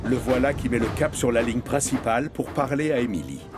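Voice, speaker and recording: A man narrating a children's story from a script in an expressive storytelling manner. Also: medium pitch, a little fast, music in the background.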